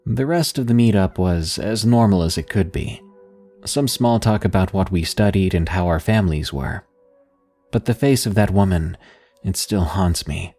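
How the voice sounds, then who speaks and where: A man narrating scary stories in a slow, hushed storytelling manner, with soft music behind him. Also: sits low. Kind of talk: scripted horror narration.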